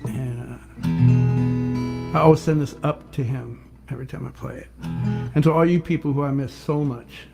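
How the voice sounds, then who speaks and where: An older male singer-songwriter with a rough voice, chatting casually and rambling a little between songs. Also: medium pitch. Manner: rambling, warm-hearted, restarts often. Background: guitar.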